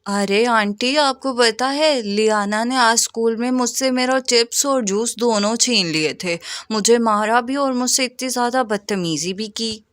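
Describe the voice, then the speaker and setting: A woman's voice: on the high side, unhurried, hardly any pauses, a bit bright, no room sound. A woman voicing a spoiled, sly, spiteful rich schoolboy in a cartoon story, tattling with false innocence.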